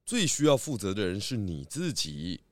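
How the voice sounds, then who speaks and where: A man playing a calm, authoritative pig detective in a children's radio drama, speaking in a measured, firm way. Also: lowish voice, no room sound, studio quality.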